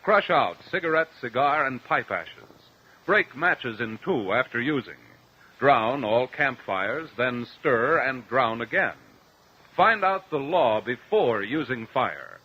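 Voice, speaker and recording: An American man narrating as a mid-century radio announcer, reading a script with deliberate, dramatic emphasis. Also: mid-range voice, very animated, breathy edge. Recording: dull, lo-fi old radio recording.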